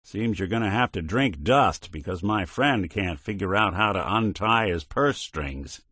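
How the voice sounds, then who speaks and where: An actor voicing a world-weary, principled robot detective in a video game, with an American accent and a wry, hard-boiled, old-time private eye manner. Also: male voice, low voice, expressive, measured pace, fairly bright tone, breathy edge, dry recording, studio quality, heavily acted. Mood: sardonic. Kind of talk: video game character acting.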